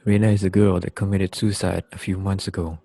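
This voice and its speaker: Male story narrator, soft dark tone, rough-edged voice, scripted amateur delivery. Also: low voice, flat delivery, a little fast, dry recording.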